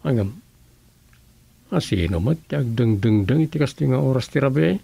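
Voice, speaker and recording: A man's voice: medium pitch, expressive, moderate pace, creaky, warm tone, breathy edge, no room sound. A man playing a lovesick, plaintive village husband who mutters to himself in a radio comedy drama. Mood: drowsy musing.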